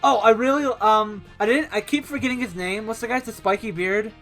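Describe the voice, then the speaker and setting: Chatty woman with faint music behind. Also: a little high. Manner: halting, restarts, laughs often. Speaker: podcast co-host reviewing a film. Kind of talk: casual chat.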